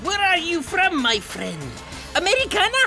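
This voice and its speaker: Eager, high-pitched foreign cabbie. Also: male voice, huge pitch swings, normal speed, gravelly, music in the background, heavily acted. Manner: eager, insistent, repetitive. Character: nosy, over-friendly foreign male taxi driver. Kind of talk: audio theatre acting.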